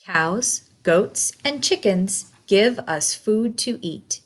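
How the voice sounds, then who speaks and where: A woman reading a children's picture book aloud in a simple, deliberate, instructive way, putting questions to the listener. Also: mid-range voice, animated.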